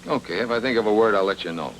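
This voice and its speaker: An actor playing a tough, sardonic private investigator in a noir radio drama, talking in terse slangy patter. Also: male voice, lowish voice, racing, a little hiss or hum, close to an everyday voice. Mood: cool, sardonic.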